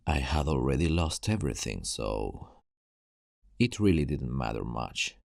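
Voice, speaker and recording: Man acting a very deep, gravelly apocalypse survivor. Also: very animated, measured pace, dry recording, clean studio sound, heavily acted. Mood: weary, reflective. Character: gruff, world-weary male zombie apocalypse survivor, guarded and urgent. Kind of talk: ASMR roleplay acting, addressing the listener.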